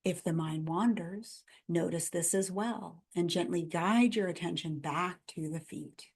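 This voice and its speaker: A woman guiding a body scan meditation in a gentle, calm, instructional manner, in measured phrases with pauses between them. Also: lowish voice, creaky, husky. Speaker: meditation guide.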